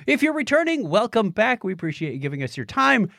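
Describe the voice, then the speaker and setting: A man's voice: sits high, very animated, quick pace, gravelly, pushed and bright, dry recording, studio quality. An American man co-hosting a podcast in a lighthearted, jokey way, moving between scripted intro and casual banter. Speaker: podcast co-host. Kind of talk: scripted show opening and casual chat.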